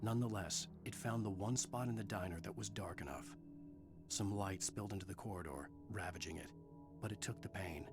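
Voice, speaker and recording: An actor playing a brooding thriller narrator in a video game, reading literary prose in a measured way. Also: male voice, lowish voice, music in the background, lightly acted. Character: brooding male narrator of a dark thriller novel.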